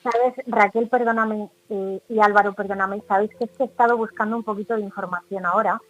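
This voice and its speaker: A woman's voice: mid-range voice, fairly even intonation, fast, highs cut off. A woman with an accent from Spain giving opinionated, conversational commentary as a radio panelist.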